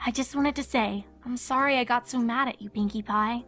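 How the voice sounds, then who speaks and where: A woman plays a studious young female cartoon unicorn in a fairly high voice. The unicorn speaks earnestly and articulately, sincere yet a little sceptical. Faint music runs underneath. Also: steady tone, fairly quick, fairly bright tone. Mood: apologetic, sincere. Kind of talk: cartoon character acting in a fan fiction audio reading.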